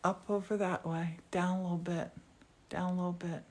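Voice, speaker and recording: A woman's voice: on the low side, little pitch movement, moderate pace. A woman hosting a live demonstration in a glass studio, chatting casually and with enthusiasm. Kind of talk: casual live-stream chat and commentary.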